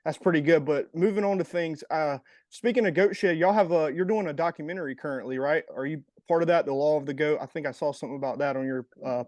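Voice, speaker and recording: A man's voice: a little high, quick pace, a little mellow, no room sound. A man co-hosting a fight podcast, asking loose, rambling questions with plenty of "uh" and "I guess". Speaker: podcast co-host. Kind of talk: casual interview.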